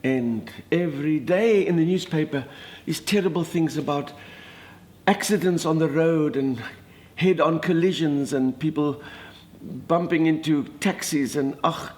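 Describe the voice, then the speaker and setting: A man's voice: fairly high pitch, unhurried, a little mellow, lightly acted. A man playing a chatty older woman with an Afrikaans South African accent, a minister's wife telling a dramatic, exclamatory story. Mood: gossipy. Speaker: comedic monologist. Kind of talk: comic storytelling monologue.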